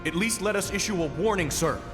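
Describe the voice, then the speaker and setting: A man's voice: sits high, quick pace, long reverb tail, over music, close to an everyday voice. A man playing an earnest, anxious director in a video game, formal and imploring. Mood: desperate pleading. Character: earnest, anxious middle-ranking male city director pleading. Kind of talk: video game cutscene acting.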